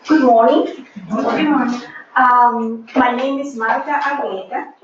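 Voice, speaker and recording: A woman's voice: a little high, fairly even intonation, slow pace, background noise. Peruvian-accented woman lecturing, echoey room. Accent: Peruvian Spanish. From Peru. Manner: earnest, thoughtful, frequent ums and restarts. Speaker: professor, lecturer. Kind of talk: academic lecture to a seminar room. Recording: echoey room, dull lo-fi sound.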